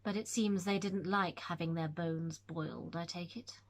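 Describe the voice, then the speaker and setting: A woman voicing a gruff older country squire who speaks bluntly, with impatience and dry sarcasm. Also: lowish voice, steady tone, moderate pace, voice pushed down, natural voice. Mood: grim, sardonic. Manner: brusque, sardonic.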